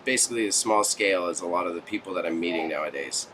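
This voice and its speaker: A man's voice: deep voice, fast talker, pushed and bright, a little hiss or hum. A Canadian man chatting casually with viewers as a livestream host, with slangy, joking banter. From Toronto, Canada. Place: small warehouse space stacked with goods.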